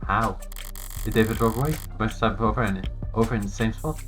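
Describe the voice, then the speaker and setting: A man's voice: lowish voice, fast talker, over loud music, close to an everyday voice. A man voicing a shrewd, impatient investigator in a video game, with a dry, blunt, sarcastic delivery.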